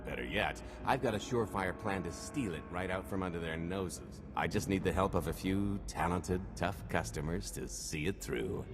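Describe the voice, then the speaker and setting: An actor playing a cocky, smooth-talking alien scoundrel in a video game cutscene, with a wry, confident manner. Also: male voice, sits low, moderate pace, few pauses, over music, close to an everyday voice. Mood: sly. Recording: game score behind the voice.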